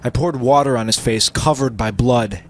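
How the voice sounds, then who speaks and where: A man's voice: medium pitch, normal speed, slight background noise. A man narrating an amateur pirate story as a theatrical dramatic reading. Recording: music playing behind the voice. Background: music.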